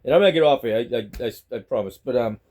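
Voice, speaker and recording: A man's voice: medium pitch, quick pace, a little mellow. A middle-aged man with a Boston accent, rambling casually to a phone on a selfie stick at home. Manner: chatty, rambling, repeats himself. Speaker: vlogger. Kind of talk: rambling casual talk to camera.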